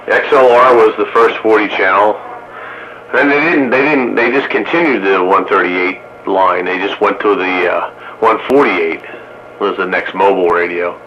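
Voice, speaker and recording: Low-voiced man on noisy CB radio. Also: normal speed, a bit bright. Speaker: CB radio roundup regular. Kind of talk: casual CB radio chat. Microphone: CB radio microphone. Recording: noisy, muffled CB radio transmission.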